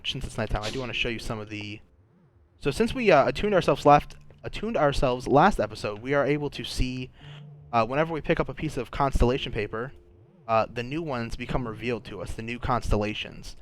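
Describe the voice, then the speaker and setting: A man's voice: medium pitch, lively intonation, fast, slight background noise. A young man with a sore throat, chatting casually and thinking aloud while playing a game, often restarting his sentences. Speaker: gaming channel host. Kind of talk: casual gameplay commentary.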